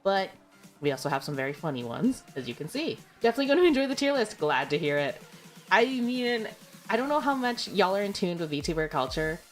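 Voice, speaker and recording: A woman's voice: medium pitch, sing-song, fairly quick, soft background music. A young adult woman streaming, chatting casually and rambling with lots of fillers and giggles. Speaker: VTuber streamer. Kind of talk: casual livestream chat.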